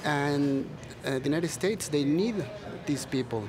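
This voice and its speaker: A man on a panel, speaking in measured phrases with pauses. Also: on the high side, animated, a crowd in the background. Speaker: panel guest.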